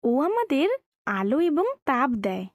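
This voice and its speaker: A sensible cartoon planet Earth, voiced by a woman, knowing and quick to scold. The voice is high-pitched and recorded close and dry. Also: sing-song, no echo. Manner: knowing, admonishing. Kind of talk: children's cartoon dialogue.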